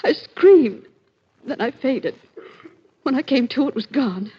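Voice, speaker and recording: An actress playing a distraught, frightened wife in a 1940s radio mystery, with halting, melodramatic delivery. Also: female voice, sits high, animated, breathy edge, exaggerated voice. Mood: horrified. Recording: dull, lo-fi 1940s radio recording.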